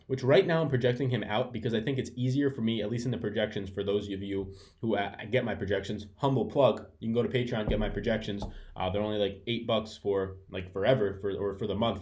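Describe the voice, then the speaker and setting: Fast-talking man sniffling through picks. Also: on the low side, a little mellow. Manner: rambling, self-correcting, chatty. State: sniffling from allergies. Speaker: fantasy sports analyst. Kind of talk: casual solo webcam breakdown. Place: room at home. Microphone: webcam setup.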